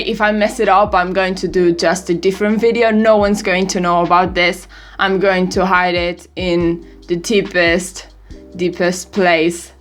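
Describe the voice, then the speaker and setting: A woman's voice: mid-range voice, fairly bright tone. Young woman, 18, non-native English speaker, giggly and rambling, chatty vlog, faint music underneath. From Europe. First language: not English. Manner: giggly, rambling, self-deprecating. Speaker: vlogger. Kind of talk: casual birthday vlog chat.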